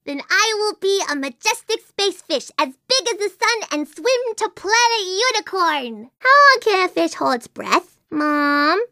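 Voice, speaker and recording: Game role: chatty, dreamy little girl, very animated delivery, dry close recording. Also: extremely high pitch, a little slow, few pauses, no room sound, full character voice. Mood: dreamy, inquisitive. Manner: whimsical, earnest, childlike wondering. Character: imaginative, chatty little girl, dreamy about unicorns and princesses. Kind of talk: animated video game character voice acting.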